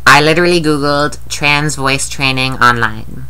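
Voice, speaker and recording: A woman's voice: a bass voice, normal speed, pushed and bright, clean tone. A woman with a nasal voice, talking in a rambling, candid video diary and swearing casually. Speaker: vlogger.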